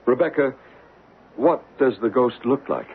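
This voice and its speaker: An actor playing a calm male psychic investigator in a 1970s radio drama, asking questions in a reassuring way. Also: medium pitch, a little slow, husky, full character voice. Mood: gently probing. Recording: tinny hissy 1970s radio broadcast.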